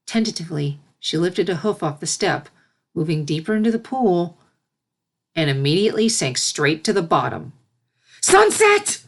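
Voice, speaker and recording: A woman's voice: fairly low pitch, lively intonation, normal speed. A woman narrating a scripted story as its reader.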